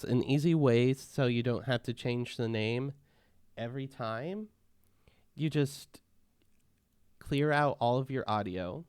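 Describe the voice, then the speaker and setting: A man walking viewers through audio editing steps in a relaxed, casual tutorial. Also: mid-range voice, clean tone, no room sound, clean studio sound. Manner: relaxed, step-by-step instructing. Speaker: tutorial host. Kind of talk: casual software walkthrough.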